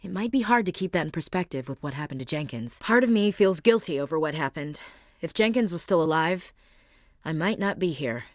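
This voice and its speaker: A woman's voice: sits low, animated, a little fast, no room sound, clean studio sound. An actress playing a tough, blunt young female marine in a video game, speaking in a direct, clipped soldierly manner. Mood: steady. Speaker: game character.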